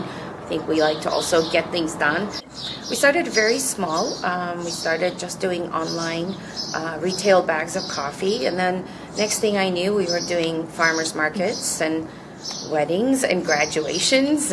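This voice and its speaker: A woman's voice: on the low side, background noise. A woman in her fifties, originally from Turkey, earnestly answering interview questions as a coffee company co-founder, often restarting her sentences. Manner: reflective, earnest, with frequent restarts.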